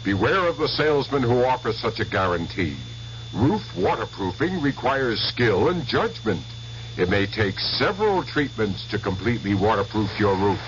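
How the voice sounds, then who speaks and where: A man reading a scripted public service radio spot, deliberate and instructive. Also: fairly low pitch, sing-song, noisy background. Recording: dull old radio broadcast.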